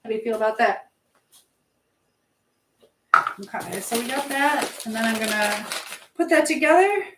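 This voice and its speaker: Lady w a highish voice rambling casually on a livestream from her kitchen, pretty echoey. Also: lively intonation, a little fast. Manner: informal, rambling, reading out and answering comments. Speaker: livestream host chatting with viewers. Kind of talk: casual live chat while baking. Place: home kitchen. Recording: echoey room with clear background noise.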